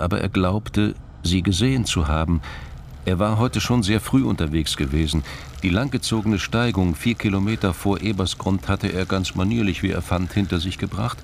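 A middle-aged man with a gravelly voice reading scripted literary crime narration. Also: low-pitched, moderate pace, a little hiss or hum.

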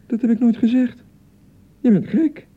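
An actor with a Dutch accent playing a young newlywed husband in a radio drama, placating and easily exasperated, with a hesitant way of talking. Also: male voice, extremely high pitch, normal speed, phone-quality sound. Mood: exasperated, defensive. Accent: Dutch (Netherlands). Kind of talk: naturalistic radio drama acting.

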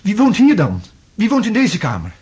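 Troubled man, lively pitch. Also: slightly rough, lo-fi sound, exaggerated voice. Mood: agitated, insistent. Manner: halting, insistent, repeating himself. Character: troubled, distraught man insisting on seeing his room. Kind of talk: Dutch radio play acting.

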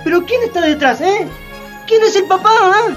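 A woman's voice: very high voice, moderate pace, faint music behind. A woman playing an exasperated, scolding bourgeois in satirical radio theatre, emphatic and full of rhetorical questioning. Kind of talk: Spanish-language radio theatre acting.

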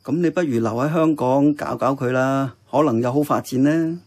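An actor playing a kindly, contented older uncle in a Hong Kong Cantonese radio drama, chatty and reassuring. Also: male voice, mid-range voice, moderate pace, a little mellow, lightly acted. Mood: fond, reassuring.

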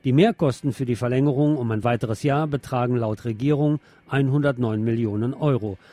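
A man's voice: medium pitch, brisk, dark tone, no echo. A man hosting a radio programme, reading news and press commentary aloud in a wry, sardonic manner.

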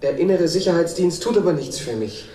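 An actor playing a timid, insecure petitioner in his thirties, halting and plaintive, in a radio satire. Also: fairly high pitch, animated, mellow, lightly acted. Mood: plaintive, aggrieved. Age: 30s. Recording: echoey room, far off, faint noise.

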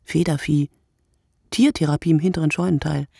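A performer reading a literary radio play monologue in short, deliberate, reflective phrases. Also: mid-range voice, fairly even intonation, creaky, darkish tone, husky, no room sound, studio quality.

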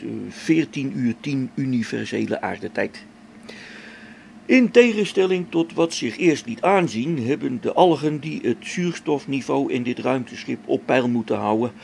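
A man's voice: medium pitch, gravelly, darkish tone, a little hiss or hum. An actor playing a grumbling old-school test pilot and father talking to himself in a spaceship radio drama. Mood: formal log dictation. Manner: muttering to himself, grumbling, chuckling.

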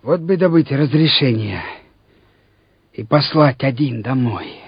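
An actor playing a simple, kind-hearted peasant soldier in a radio play, musing in an unhurried, folksy way. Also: male voice, medium pitch, expressive, husky, exaggerated voice. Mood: wistful musing. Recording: muffled, roomy old radio recording.

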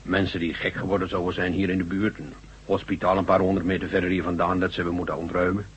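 A man's voice: low-pitched, level tone, quick pace, slightly rough. A man acting a burly, folksy construction worker, uneasy and deferential, in a science fiction radio drama. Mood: suspicious, confiding. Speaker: actor in a radio play. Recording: dull, noisy old radio recording.

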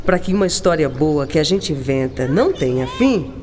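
In a reverberant radio drama with background noise, a gentle, philosophical woman writer. Her fairly low voice swoops expressively as she muses with affection. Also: animated, moderate pace. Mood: reflective.

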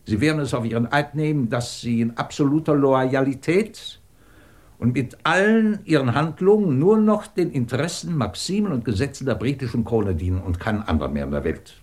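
A male actor plays an imperious, middle-aged professor in a 1950s German radio drama. His mid-pitched voice swings widely as he commands, probes and mocks. The delivery is theatrical, clipped and sardonic, that of a man used to being obeyed. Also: animated, brisk, a little mellow, close to an everyday voice. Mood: stern, solemn. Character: imperious, sardonic British physics professor and department chief.

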